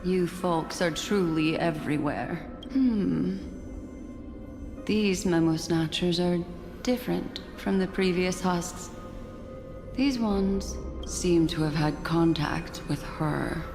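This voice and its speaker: An actress playing a composed, enigmatic female investigator in a video game, speaking in a measured, solemn and formal way. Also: fairly low pitch. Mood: pensive. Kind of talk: dramatic video game acting. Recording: reverberant hall, music behind.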